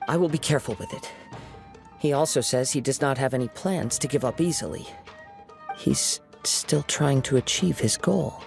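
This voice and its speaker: An actor playing a calm, poetic young wandering swordsman in a video game, with measured, formal phrasing. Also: medium pitch, vocal fry, over music, lightly acted. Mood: calm concern.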